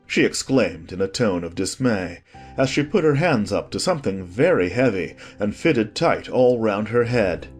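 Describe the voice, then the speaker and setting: A man's voice: fairly low pitch, expressive, normal speed, soft background music. A man reading scripted storybook narration as the narrator of a dramatized audiobook.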